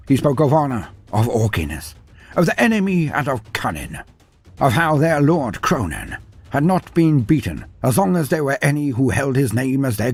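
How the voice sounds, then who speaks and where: Husky, theatrical male story narrator. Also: medium pitch. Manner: theatrical, mock-grandiose. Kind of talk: dramatic scripted story narration.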